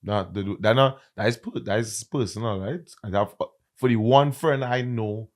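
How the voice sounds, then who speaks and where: A man with a Trinidadian accent, a content creator and comedian, chatting in an interview and telling stories with lively emphasis. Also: lowish voice, normal speed. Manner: animated, emphatic storyteller. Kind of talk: casual interview chat.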